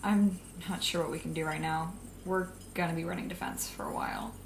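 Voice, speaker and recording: A woman acting in an audio drama as a wry, cautious young female villain who plans briskly, with a dry, sarcastic manner. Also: low voice, huge pitch swings, gravelly, slight background noise, full character voice, rough recording. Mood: serious, wary.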